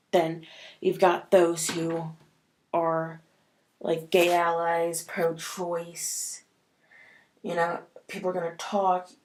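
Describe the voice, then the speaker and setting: A woman's voice: on the low side, darkish tone. A woman talking casually to camera in a vlog, sniffly from a cold and rambling with many fillers. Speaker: vlogger.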